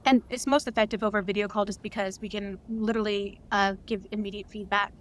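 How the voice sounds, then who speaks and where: A woman's voice: medium pitch, steady tone, quick pace, slight background noise. A woman speaking as a guest in a casual interview, earnest and reflective. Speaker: interview guest, audio drama creator.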